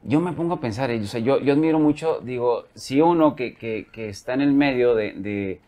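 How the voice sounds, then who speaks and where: A man's voice: mid-range voice, moderate pace, darkish tone. A Mexican man in his mid-forties hosting a podcast, asking curious questions in a loose, rambling way. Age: 40s. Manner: curious, rambling, many restarts. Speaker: podcast host. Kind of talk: casual chat.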